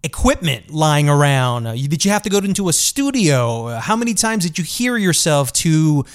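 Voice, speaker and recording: A man's voice: a little high, big pitch swings, normal speed. A man hosts a casual interview, curiously stacking one question after another in a conversational way. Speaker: podcast host, interviewer.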